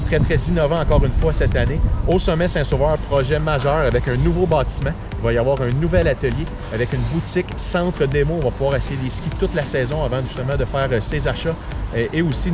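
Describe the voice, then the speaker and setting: A man, a ski resort director talking up the season in an upbeat way, with fillers, outdoors on a chairlift. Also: mid-range voice. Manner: upbeat, with frequent fillers and repeated words. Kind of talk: promotional interview talk. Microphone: action camera on a pole.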